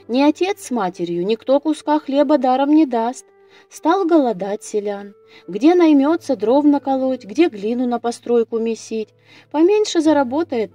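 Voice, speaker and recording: A woman storyteller narrating a scripted audio fairy tale in a measured, expressive manner. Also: on the high side.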